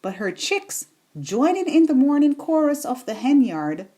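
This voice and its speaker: A woman reading a children's bedtime story in an expressive, deliberate storytelling manner. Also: high-pitched.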